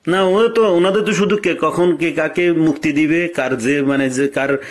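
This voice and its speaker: A man with a Bangladeshi accent speaking deferentially on a recorded phone call, often repeating himself and halting as he searches for words. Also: fairly high pitch. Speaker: political party organiser. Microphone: telephone.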